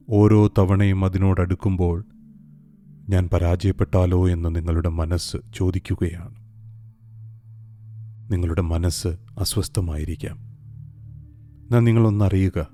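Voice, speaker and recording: A man narrating a scripted guided meditation in a calm, soothing, unhurried way with long pauses. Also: sits low. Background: soft meditation music with singing bowls.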